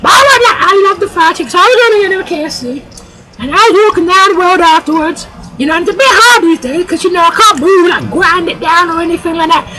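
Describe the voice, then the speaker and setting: A loud, sassy older woman guest voiced by a performer rambles through her tale in a very high, piercing voice. She keeps calling people "child" and never lowers the volume for long. It is a comic talk-show sketch. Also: fast talker, rough recording.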